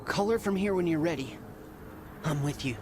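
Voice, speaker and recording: A man's voice: a little high, moderate pace, music in the background, close to an everyday voice. A man playing a loyal, spirited younger Spartan brother in a video game, eager and supportive. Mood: curious, supportive. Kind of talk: dramatic video game acting.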